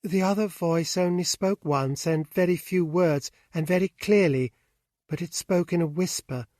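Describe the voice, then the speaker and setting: A man's voice: high voice, normal speed, a little mellow, dry recording, clean studio sound, close to an everyday voice. A man voicing a frightened, distraught young woman in an audiobook, anguished and pleading. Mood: shaken.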